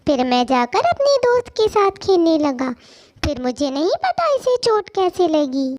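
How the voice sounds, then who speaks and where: Woman playing an innocent, high-pitched little boy bird. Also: very animated, measured pace, a little mellow, exaggerated voice. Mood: earnest, defensive. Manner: childlike, earnest. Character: innocent, polite little boy bird, devoted to his mother. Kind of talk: children's cartoon story voice.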